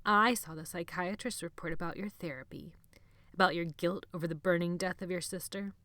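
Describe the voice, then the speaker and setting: A woman reading an audiobook, voicing a cruel, sneering boy bully who draws out his taunts slowly. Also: lowish voice, steady tone, bright, forceful tone, no echo. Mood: low sneer. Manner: slow, drawn-out taunting. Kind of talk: audiobook character voice.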